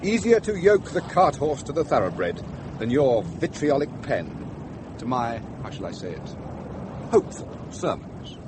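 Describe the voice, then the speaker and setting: A mild, hesitant vicar, expressive pitch. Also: male voice, noisy background. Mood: hesitant, demurring. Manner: hesitant, genteel, measured wording. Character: mild, hesitant, reproving male English vicar. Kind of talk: dramatic dialogue.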